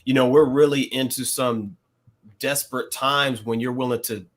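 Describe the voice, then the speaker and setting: A man co-hosting a podcast, discussing things casually in measured, reflective phrases. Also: mid-range voice, fairly even intonation. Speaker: podcast co-host.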